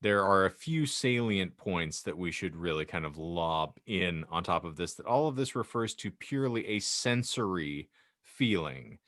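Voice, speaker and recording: Low-voiced man with wordy, formal phrasing. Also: animated, normal speed, a bit bright, no echo, clean studio sound. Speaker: podcast host. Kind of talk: moderating casual discussion.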